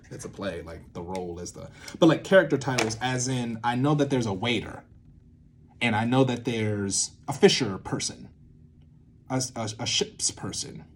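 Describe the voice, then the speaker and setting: A young man explaining in a casual, halting way, thinking aloud and often repeating and checking himself. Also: medium pitch, a little hiss or hum. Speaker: creator teaching a creative method.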